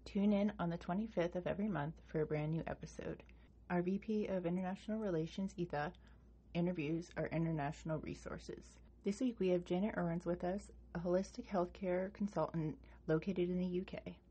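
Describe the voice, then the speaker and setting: A woman reading a scripted podcast introduction in a smooth, even way. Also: fairly low pitch, brisk. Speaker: podcast announcer.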